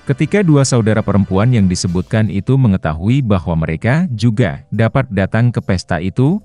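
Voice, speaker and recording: Low, mellow man narrating a fairy tale. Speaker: children's storyteller. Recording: roomy echo under faint music. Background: faint background music.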